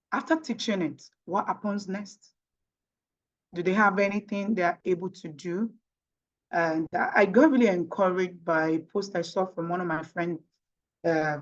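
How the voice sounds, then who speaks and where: A woman's voice: on the low side, dull audio. A woman from Nigeria with a Nigerian accent and Yoruba as her native language, answering in an interview emphatically with rhetorical questions. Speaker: interview guest, tech professional.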